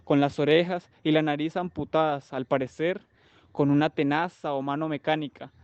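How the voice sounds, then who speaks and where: A man acts a wartime doctor in a radio play, his voice a little high and the sound slightly muffled. He lectures with formal, clinical assurance and a matter-of-fact manner. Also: steady tone, normal speed, a little mellow, clean tone, no echo, close to an everyday voice. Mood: matter-of-fact, assured. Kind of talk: literary radio drama monologue.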